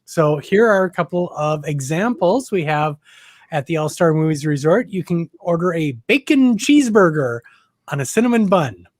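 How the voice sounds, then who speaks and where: A man's voice: fairly high pitch, expressive, moderate pace, no room sound, clean studio sound. A man co-hosting a casual live stream, laughing often and stumbling over his words. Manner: giggly, stumbling restarts. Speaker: co-host.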